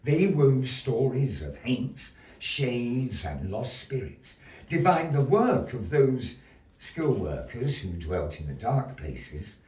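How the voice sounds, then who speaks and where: A man narrating a story theatrically as a live storyteller, declamatory and full of weighty pauses. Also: low-pitched, darkish tone, a little hiss or hum. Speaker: storyteller, puppet show narrator. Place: indoor gallery performance space. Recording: echoey gallery room, distant mic.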